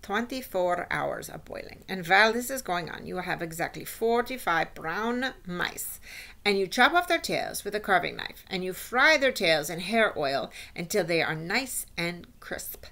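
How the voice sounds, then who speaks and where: A woman's voice: fairly low pitch, sing-song, normal speed, creaky, sharp tone, heavily acted. A woman voicing a bossy, scornful witch leader who scolds and gives orders imperiously in a storybook. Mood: commanding, gloating. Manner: imperious, scolding, odd foreign-style grammar.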